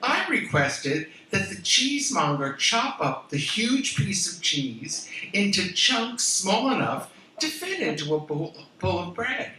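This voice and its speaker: An older American woman reading her memoir aloud as a theatrical, expressive storyteller, with emphatic pauses. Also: lowish voice. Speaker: author reading from own memoir. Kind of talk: dramatic live storytelling reading. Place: room in a historic house, before listeners. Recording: roomy, distant mic with background noise.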